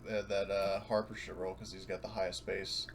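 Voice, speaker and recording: A man's voice: low-pitched, flat delivery, moderate pace, vocal fry. A man playing a tabletop game, talking casually and bantering with the other players.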